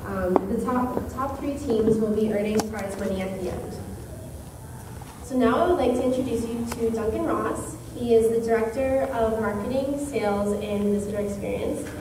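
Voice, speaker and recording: Female event host, prepared speech, slightly high voice, very reverberant space. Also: normal speed. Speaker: event host introducing the speaker. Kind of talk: prepared opening ceremony speech. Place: indoor event venue. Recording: very echoey hall, distant mic, clear noise.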